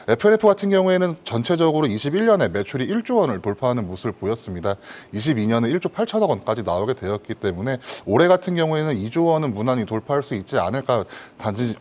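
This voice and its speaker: Man, stock analyst, very quick pace, lively intonation, formal explanatory commentary. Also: medium pitch. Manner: explanatory, formal polite phrasing.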